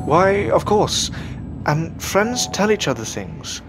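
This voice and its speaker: Man playing an earnest, formal Victorian gentleman. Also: sits high, sing-song, slow pace, music in the background, exaggerated voice. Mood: puzzled, polite. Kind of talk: audio drama acting.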